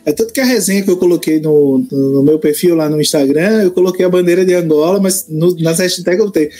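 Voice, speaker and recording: Musing man, slightly high warm voice. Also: fast. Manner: hesitant, musing, many restarts. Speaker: book reviewer. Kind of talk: casual conversation about literature.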